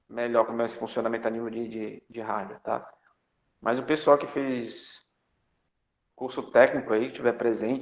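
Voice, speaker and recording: Man lecturing in an echoey room. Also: medium pitch. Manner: conversational, many fillers and tag questions; jokey asides. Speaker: computer architecture lecturer. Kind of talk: online lecture to students. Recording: echoey, dull lo-fi lecture audio.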